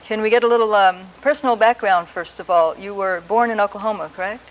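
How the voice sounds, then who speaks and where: A woman's voice: medium pitch, fast, poor audio. A woman hosting a broadcast interview, asking polite questions and giving frequent encouraging listening sounds. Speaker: host.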